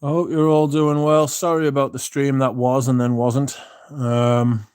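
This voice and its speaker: A man's voice: medium pitch, level tone, a little slow, darkish tone, clear, resonant tone, dry recording, studio quality. A tired man, chatting casually and rambling on a livestream, swearing often and restarting sentences. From Britain. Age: 30s. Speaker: streamer. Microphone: phone.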